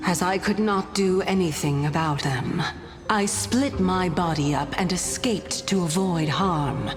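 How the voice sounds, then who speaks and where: An actress playing a mysterious titan in disguise in a video game, gentle, wistful and knowing, with measured, formal, theatrical delivery. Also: female voice, fairly low pitch, close to an everyday voice. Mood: composed, knowing. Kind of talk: scripted video game voice acting. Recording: long reverb, music behind. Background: game music.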